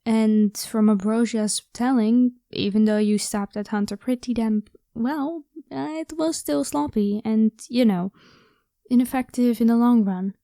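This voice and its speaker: Woman as a high-voiced, caring vampire woman. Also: a little slow, vocal fry, warm tone, no echo, clean studio sound. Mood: reassuring. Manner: casual, encouraging, reassuring. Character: caring, protective, playful young vampire woman. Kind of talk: ASMR audio roleplay.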